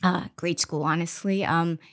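A woman investor giving a candid, reflective podcast interview, often pausing with ums. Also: lowish voice, fairly even intonation, a bit bright. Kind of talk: conversational interview. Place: studio interview.

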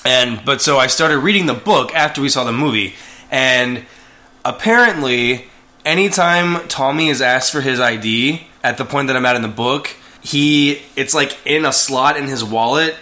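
A man talks in a loose, rambling way, full of "like" and restarts as he explains things. His voice sits at an ordinary pitch but sounds bright and forward. It is relaxed, unscripted conversation. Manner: rambling, many fillers and restarts. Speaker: podcast co-host. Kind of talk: casual chat.

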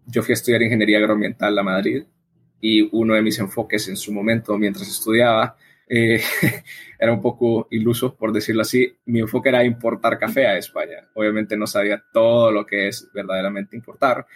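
Husky-voiced man explaining conversationally. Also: lowish voice, flat delivery, a little fast. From El Salvador. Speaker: interview guest, coffee event organizer. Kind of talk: conversational interview answers.

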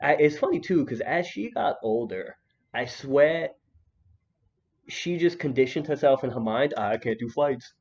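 A man's voice: medium pitch, expressive, a little slow, a little mellow. A young man hosting a podcast, chatting in a laid-back, joking way with many restarts. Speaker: podcast host. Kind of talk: casual chat. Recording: dull lo-fi podcast audio.

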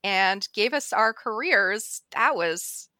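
A woman co-hosting a podcast in casual chat, bubbly and excitable and quick to laugh. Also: mid-range voice, no echo. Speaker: podcast co-host.

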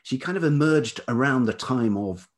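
A man speaking as an interview guest in reflective, casual conversation, halting thoughtfully as he searches for words. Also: medium pitch, lively intonation. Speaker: interview guest, writer and musician.

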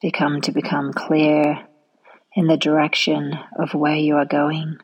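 A woman leading a guided relaxation meditation in a soft, soothing manner, often trailing off into a near-whisper. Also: low voice, monotone, measured pace, slightly rough, rough recording.

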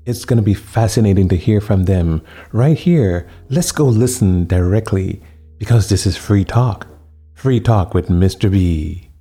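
A man hosting a talk show interview, speaking slowly and emphatically and repeating his phrases for effect. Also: lowish voice, dark tone, husky, faint music behind. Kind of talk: radio-style interview.

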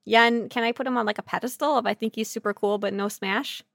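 High-voiced woman podcaster, animated and jokey. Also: fast, creaky, dry recording, studio quality. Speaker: podcast co-host. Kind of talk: casual, silly banter about an online game.

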